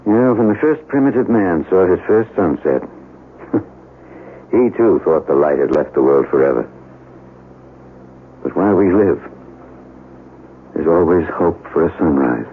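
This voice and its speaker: An actor playing a troubled, courteous chemist in a 1970s radio drama, with a courtly, earnest, theatrical delivery. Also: male voice, low voice, lively intonation, a little slow, long pauses, slight background noise. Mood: courteous, guarded. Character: troubled, courteous male chemist haunted by his past. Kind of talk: 1970s radio drama acting with brooding monologue. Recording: dull vintage radio recording, music behind.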